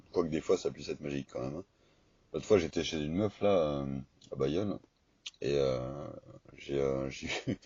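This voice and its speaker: A man speaking informally and haltingly as an amateur radio operator, in a casual chat over the air. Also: a bass voice, dark tone. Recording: dull, echoey two-way radio recording.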